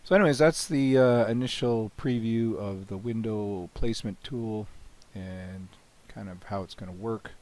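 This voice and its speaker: A man with a cold, hoarse and often clearing his throat, casually talking through a software demo with many "you know"s and restarts. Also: lowish voice, normal speed, few pauses. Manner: relaxed, rambling, frequent "you know" and restarts. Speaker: software developer demonstrating his plugin. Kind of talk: screen-recorded software walkthrough.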